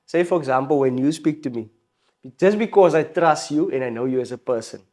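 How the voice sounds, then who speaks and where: Man, South African accent, animated, repeats himself, casual podcast chat. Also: a little high, fairly quick. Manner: animated, emphatic, repeats phrases, laughs often. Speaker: guest musician.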